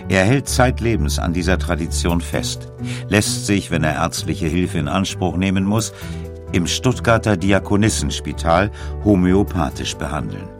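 A man narrating a scripted documentary in a measured, factual way. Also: low voice, expressive, over music.

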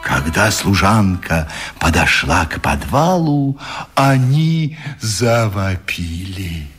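Slow, swooping storyteller over music. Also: male voice, deep voice, very animated, fairly bright tone, full character voice. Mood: suspenseful telling. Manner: theatrical, measured. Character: storyteller narrating an Eastern folk tale. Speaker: narrator. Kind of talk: dramatic storytelling, scripted narration.

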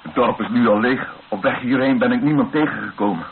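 An actor playing a composed, cooperative rebel army major in a radio drama, brief and polite. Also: male voice, medium pitch, brisk, gravelly, narrow, tinny audio, natural voice. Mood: composed, matter-of-fact. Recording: noisy band-limited old radio recording.

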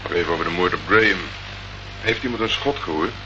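An actor playing a terse, dogged private detective in a Dutch radio play, asking clipped, probing questions. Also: male voice, low-pitched, quick pace, close to an everyday voice. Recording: noisy, muffled old radio recording.

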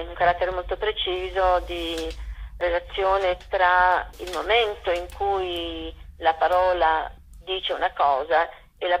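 A woman's voice: fairly low pitch, highs cut off. A woman speaking spontaneously as an interview guest, searching for her words. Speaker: theatre director, interview guest. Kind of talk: spontaneous radio interview talk.

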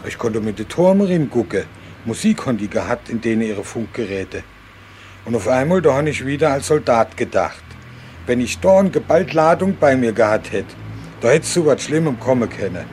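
A man's voice: medium pitch, close to an everyday voice. Man acting ageing ex-soldier, over fifty, folksy dialect storytelling, radio play, slight background noise. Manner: plain, folksy regional dialect. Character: ageing former deserter recounting his war memories. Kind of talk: first-person recollection in radio drama.

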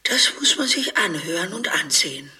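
An actress playing a catty, sharp-tongued middle-aged half-sister in a radio play, speaking with a breathy voice and a sarcastic, gossipy manner. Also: female voice, fairly low pitch, very animated, normal speed, full character voice.

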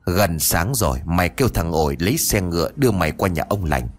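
A man doing a sharp-tongued, cruel young mistress. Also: mid-range voice, sing-song, fairly quick, breathy edge, faint music behind, pitched above the performer's own voice. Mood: cold, bossy.

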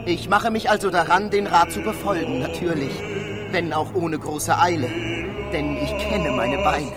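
Ein Schauspieler spielt in einem literarischen Hörspiel einen neugierigen, freigeistigen Reisenden, der theatralisch und mit trockenem Witz spricht. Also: male voice, fairly high pitch, moderate pace, lightly acted. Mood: wry. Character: male free-thinking poet, curious wry traveller narrating his journey. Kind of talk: literary first-person radio drama narration. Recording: studio radio play with loud music underneath.

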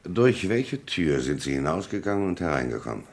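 An actor playing a gruff, probing police commissioner in a German radio crime drama, with a gravelly, husky voice and an insistent, commanding manner. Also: male voice, low-pitched, big pitch swings, a little fast.

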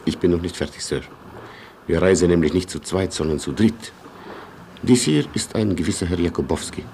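Deep, pompous military colonel. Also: male voice, brisk, dark tone, slight background noise. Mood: proud, formal. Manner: pompous, declamatory. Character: proud, grandiloquent Polish colonel, passionate and chivalrous. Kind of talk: German radio play acting.

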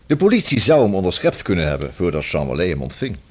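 Low, husky, sardonic police detective. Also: male voice, sing-song, fast, a little mellow. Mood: pressing. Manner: formal, sardonic, unflappable. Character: persistent, ironic male police detective. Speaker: radio drama actor. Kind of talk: radio play acting.

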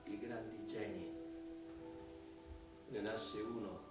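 Loud instrumental music surrounds a distant, heavily echoing male voice. He is a brooding, haughty figure from a radio play, low-voiced and solemn, speaking with open contempt. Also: steady tone, slow pace. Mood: solemn disdain. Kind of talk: theatrical Italian radio drama.

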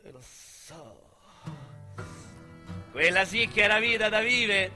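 An actor with a Roman accent playing a young, boastful, foul-mouthed street criminal in a radio drama monologue, with coarse slang and a swaggering delivery. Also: male voice, high-pitched, slow pace, pushed and bright, soft background music, exaggerated voice. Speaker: narrator and lead character.

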